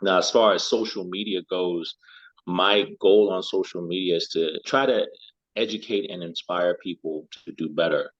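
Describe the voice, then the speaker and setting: Middle-aged guy from liberia, very deep voice, dull dry sound, thinks out loud. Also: normal speed, no echo. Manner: thoughtful, repeats words as he searches. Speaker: guest, health and lifestyle coach. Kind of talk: reflective interview answers.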